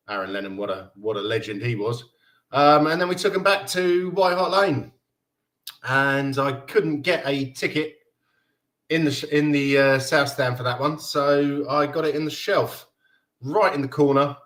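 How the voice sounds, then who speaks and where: A man's voice: mid-range voice, fairly bright tone. A man from Denmark in his thirties hosting a casual fan live stream, chatting loosely and reading viewer comments aloud with frequent laughs. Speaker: fan livestream host.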